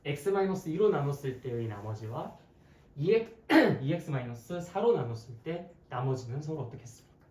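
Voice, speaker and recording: A math teacher with a hoarse voice giving an interactive classroom lecture, playful and conversational, often posing questions to students. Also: mid-range voice, very animated, a little fast, darkish tone. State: hoarse, frequent throat clearing. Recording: echoey, distant mic, background noise.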